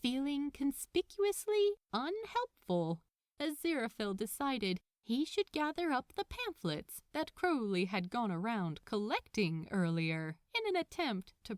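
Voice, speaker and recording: A woman narrates fiction in a scripted, expressive style. Her pace is slow and her intonation lively, and the recording is close and dry with no room sound. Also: medium pitch, dry recording.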